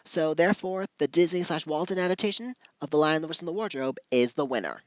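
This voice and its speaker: A video reviewer of unclear sex giving informal, opinionated commentary to viewers in a halting, emphatic way. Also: medium pitch, no echo.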